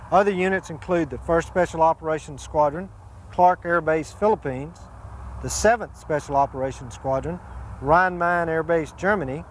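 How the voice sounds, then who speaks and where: High-voiced American man presenting military instruction. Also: slight background noise, low-quality recording. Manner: formal, enunciating lists and designations. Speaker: military air force presenter.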